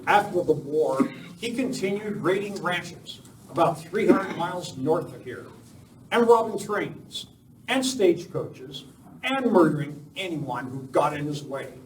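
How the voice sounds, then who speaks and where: Righteous masked cowboy hero played by a man, fairly high voice, declamatory, live Western radio play, recorded from a distance. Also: lively intonation, measured pace. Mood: stern, accusing. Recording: echoey room, distant mic, faint noise.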